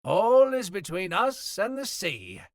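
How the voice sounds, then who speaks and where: A man voicing a courteous ship captain who speaks loudly and formally. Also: sits high, wildly swooping pitch, takes it slowly, no room sound, clean studio sound, voice pushed up, heavily acted. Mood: hearty. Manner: loud, gracious, formal.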